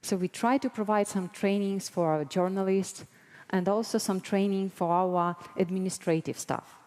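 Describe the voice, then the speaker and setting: Mellow Ukrainian-accented woman in a reverberant hall. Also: medium pitch, normal speed. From Mariupol, Ukraine. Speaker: guest speaker, broadcast board member. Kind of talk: prepared conference presentation. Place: large conference hall, on stage.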